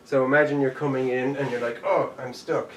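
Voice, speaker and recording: A man's voice: mid-range voice, flat delivery, fairly quick. A man teaching a jujitsu class online, talking through each move as he demonstrates it and calling out the steps. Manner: demonstrating moves while talking, counting out steps. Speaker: jujitsu instructor. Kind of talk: live online martial arts class. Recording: echoey room, distant mic, faint background noise.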